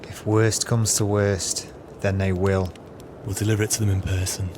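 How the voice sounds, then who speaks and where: A cold, scheming crook voiced by a man in an audio play, low-pitched and contemptuous. There is clear noise and ambience around him. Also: little pitch movement, moderate pace, natural voice. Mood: falsely soothing. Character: callous, scheming male swindler, feigning kindness to dying sailor.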